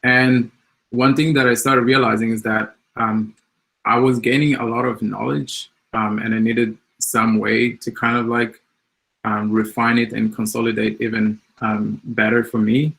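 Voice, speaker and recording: A man's voice: mid-range voice, level tone, measured pace, creaky, fairly bright tone, poor audio. A man from Mozambique whose first language is Portuguese, speaking in English about his path as an app developer in a hesitant way, with many ums and likes. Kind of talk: personal talk on tech journey.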